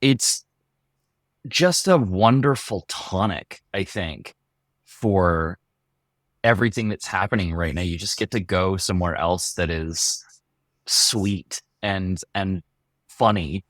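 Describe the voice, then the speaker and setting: A man's voice: low-pitched, slow pace, fairly bright tone, no room sound, studio quality. A man chatting casually in an interview, speaking in an effusive, earnest way. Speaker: audio drama cast member being interviewed.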